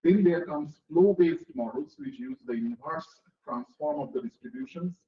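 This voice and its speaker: A man's voice: fairly high pitch, animated, moderate pace. A middle-aged man with a lecturing on a technical topic, explaining step by step and checking whether listeners follow. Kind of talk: technical lecture with classroom analogies. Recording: echoey, dull lo-fi hall recording.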